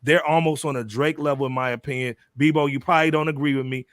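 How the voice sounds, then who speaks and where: A man's voice: a little high, steady tone, fast talker, fairly bright tone, no echo, clean studio sound. A man hosting a panel podcast, talking casually in slangy banter and repeating himself for emphasis. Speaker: podcast host moderating a panel. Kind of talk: animated casual music talk.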